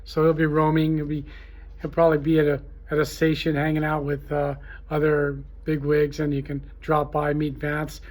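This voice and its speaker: Higher-voiced man giving a halting sales pitch. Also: monotone, darkish tone. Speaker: trade show host promoting his event. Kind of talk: informal unscripted promotional talk to camera.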